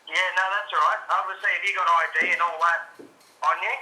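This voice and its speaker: A man with an Australian accent handles a phone call in a matey, practical way. He speaks quickly in a slightly high, very bright voice, over an echoey line. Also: steady tone. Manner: matey, matter-of-fact. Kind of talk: casual phone service talk.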